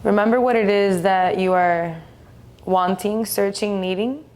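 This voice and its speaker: A woman leading a guided meditation in a soothing, gentle, unhurried way, leaving long pauses between phrases. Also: mid-range voice.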